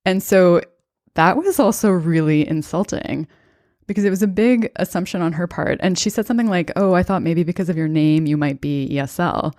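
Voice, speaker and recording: A low-pitched woman in her thirties speaks in a calm, thoughtful, conversational way, telling a personal story on her podcast. The sound is close and dry, with no room around it. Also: moderate pace, no echo. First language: bilingual English and Estonian. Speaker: podcast host and coach.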